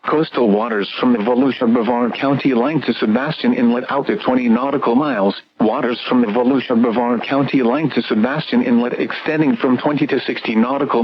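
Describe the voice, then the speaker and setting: The voice is a synthetic American man at a middling pitch, working as a weather radio announcer. He reads scripted bulletins formally and impersonally. The sound is dull and band-limited, like a radio broadcast.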